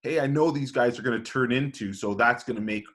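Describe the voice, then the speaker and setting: Mid-pitched man, casually thinking aloud. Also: brisk, fairly bright tone. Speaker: podcast panelist.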